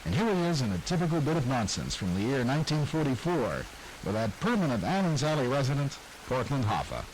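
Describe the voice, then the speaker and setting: Smooth male retro narrator. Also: medium pitch, sing-song, a little fast, slight background noise, rough recording. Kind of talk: scripted narration.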